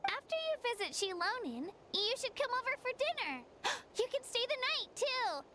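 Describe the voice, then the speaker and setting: A woman's voice: extremely high pitch, very animated, normal speed, background noise. An actress voicing a sincere, shy young girl training hard as a warrior in a game, with music behind. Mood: earnest. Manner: sincere, shy, eager to please.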